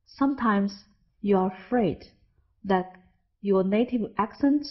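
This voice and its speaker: A woman's voice: medium pitch, takes it slowly, highs cut off. A Chinese woman with a Chinese accent, an English teacher, narrating a scripted lesson and carefully stressing example words. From China.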